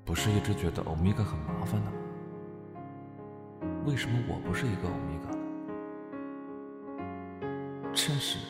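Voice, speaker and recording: A man's voice: on the low side, lively intonation, fast talker, over loud music, close to an everyday voice. A man playing a stern, reserved young Alpha general in a modern audio drama. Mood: bitter.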